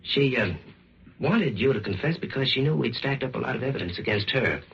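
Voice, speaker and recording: An actor playing a firm, no-nonsense police detective, brisk and insistent, with a rough voice in old-time radio suspense. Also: male voice, mid-range voice, animated, full character voice. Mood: stern accusing. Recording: dull vintage radio recording.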